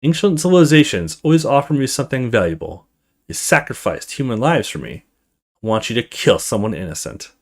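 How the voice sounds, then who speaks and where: A man's voice: mid-range voice, sing-song, brisk. A man voicing a demanding cosmic entity in a horror game, with a commanding, menacing manner. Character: demanding immortal cosmic entity bargaining with a mortal. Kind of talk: dramatic character reading in a game playthrough.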